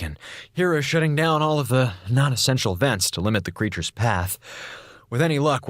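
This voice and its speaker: A man's voice: fairly low pitch, fairly bright tone, no echo, studio quality. A man with an American accent playing a glib, wry, wisecracking communications officer on a space station in a radio drama. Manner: glib, wry, theatrical, stammering when cornered. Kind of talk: radio drama acting with narrated log entries.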